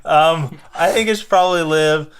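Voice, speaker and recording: A man chatting casually and playfully as co-host of a fan show, halting as he thinks aloud. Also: a little high, clear, resonant tone. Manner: playful, improvised sock-puppet banter. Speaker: fifth and sixth grade teacher. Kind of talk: casual chat.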